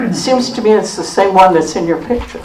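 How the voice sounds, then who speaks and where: A woman's voice: mid-range voice, normal speed, crowd noise. A woman organizer introducing the day's speaker with light teasing. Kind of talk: friendly introduction. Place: meeting room. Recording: distant roomy pickup.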